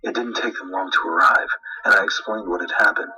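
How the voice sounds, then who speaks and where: A man's voice: low voice, steady tone, brisk, pushed and bright, slightly rough, low-quality recording. A man reading a scripted first-person horror story as narrator, with dramatic, suspenseful delivery.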